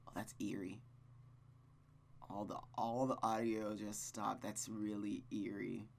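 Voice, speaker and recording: A man's voice: fairly low pitch, level tone, measured pace, creaky, a little hiss or hum. A man reading a visual novel aloud as host and breaking off into rambling, self-deprecating commentary, stammering often. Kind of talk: let's play reading with casual commentary.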